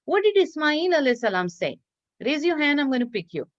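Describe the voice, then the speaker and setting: A woman telling a story and teaching children in an expressive, encouraging, teacherly way over a video call. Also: sits high, fairly quick. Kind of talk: read-aloud storytelling and quizzing children in an online class. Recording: dull lo-fi online class audio.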